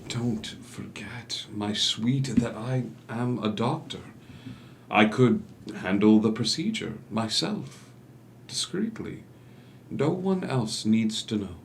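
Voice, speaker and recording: An actor playing a calculating, manipulative doctor and lover in a theatrical period drama table read, coaxing with deliberate pauses. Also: male voice, lowish voice, animated, creaky, slight background noise, full character voice.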